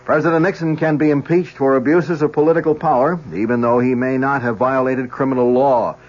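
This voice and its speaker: A man delivers scripted radio news and announcements formally. His voice is medium in pitch, heard through a slightly muffled vintage 1970s broadcast. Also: fairly even intonation, a little fast.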